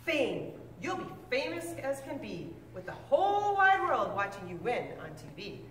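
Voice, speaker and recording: A woman reading a picture book aloud with lively, expressive delivery, now and then stumbling and correcting herself. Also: moderate pace, slight background noise. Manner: expressive storybook reading with big pitch swings. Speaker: reader. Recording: echoey room, mic at a distance.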